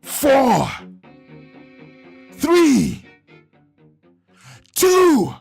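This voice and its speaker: A man voicing a desperate, furious army captain who shouts with a strained, raw voice. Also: very high voice, huge pitch swings, very slow, vocal fry, soft background music, pitched above the performer's own voice, full character voice.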